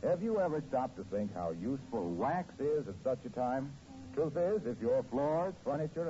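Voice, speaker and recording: Very lively American radio pitchman. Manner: polished, salesmanlike. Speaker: radio announcer. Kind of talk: 1940s radio scripted ad announcing. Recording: muffled 1940s radio recording.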